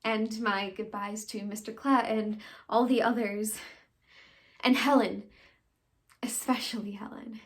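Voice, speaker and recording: A woman acting an earnest, conflicted young woman in a halting, pleading stage monologue of confession and farewell. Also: mid-range voice, little pitch movement, close to an everyday voice. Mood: subdued, sorrowful. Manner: halting, pleading, with long pauses and hushed phrases.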